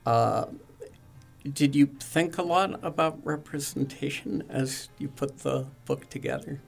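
A man interviewing young comic book creators, speaking haltingly with many fillers and restarts. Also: mid-range voice, creaky, soft background music. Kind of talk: radio interview.

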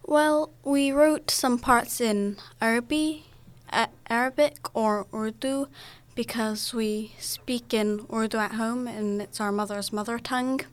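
A young woman giving brief, soft-spoken answers in an interview. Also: on the high side, fairly even intonation, unhurried. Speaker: guest, comic book co-creator.

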